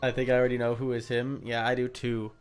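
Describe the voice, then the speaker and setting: A game streamer of middling pitch talks in a casual, crude livestream style. Faint music plays behind the loose, chatty delivery. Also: flat delivery, fast talker. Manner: crude, swearing. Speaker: streamer reading game dialogue aloud.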